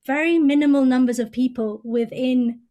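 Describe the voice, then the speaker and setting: Thoughtful woman with a higher voice. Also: steady tone. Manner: thoughtful, measured, sometimes trails off softly. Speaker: podcast guest. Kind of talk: conversational podcast discussion.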